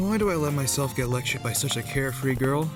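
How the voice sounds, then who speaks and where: A man voicing a wry, apathetic high school boy who narrates his school life in the first person for a visual novel dub. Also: lively intonation, a little fast, over music, lightly acted. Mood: resigned. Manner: wry, resigned.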